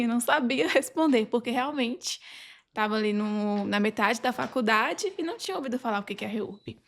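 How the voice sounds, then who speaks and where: A young Brazilian woman speaks as a guest on a podcast. Her voice is a little high, and she tells her own story in an informal, conversational way. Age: young adult. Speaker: podcast guest, legal assistant. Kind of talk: personal account in casual interview.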